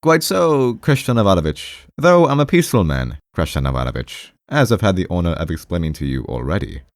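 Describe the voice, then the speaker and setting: A man's voice: sits low, sing-song, a little fast, no room sound, studio quality. A man voicing a timid, defensive male clerk in an audiobook, hesitant, repetitive and half-aggrieved, with a strained, raised voice. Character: timid, defensive, rambling male clerk visiting his doctor. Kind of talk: audiobook character voice.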